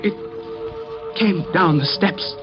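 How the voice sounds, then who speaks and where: A man's voice: sits high, slow pace. An actor playing a nervous, easily frightened man with a tense, melodramatic manner in an old-time radio horror play. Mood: panicked. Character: nervous, easily frightened brother, fragile and jumpy. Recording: dull vintage radio recording with music.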